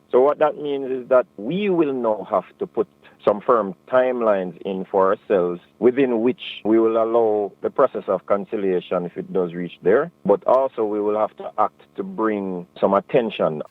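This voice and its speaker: Low-voiced Jamaican man, dull dry sound. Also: no room sound. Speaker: union president interviewed. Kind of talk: formal spoken interview statement.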